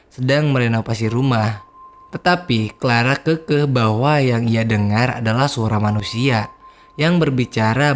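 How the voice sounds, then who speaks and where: A man's voice: medium pitch, a little hiss or hum. A man, hosting a casual, slangy horror film recap for his viewers. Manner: slangy, chatty, addresses viewers as guys. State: hoarse. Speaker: film review host.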